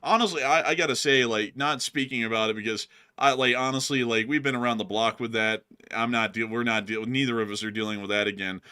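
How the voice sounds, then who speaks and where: A young man co-hosting a casual livestream, chatty and animated, with a lot of "like" and "I mean". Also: mid-range voice, fast talker, hardly any pauses, clear, resonant tone. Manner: animated, laughs often, acts out quotes loudly; many fillers like "like" and "I mean". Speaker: co-host of a news channel. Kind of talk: casual livestream chat reading donations.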